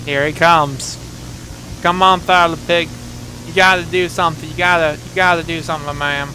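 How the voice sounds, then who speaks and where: A man's voice: a little high, fairly even intonation, normal speed, bright, forceful tone, a little hiss or hum, poor audio. A man hosting a gaming video, giving chatty, exclamatory reactions to a horror game.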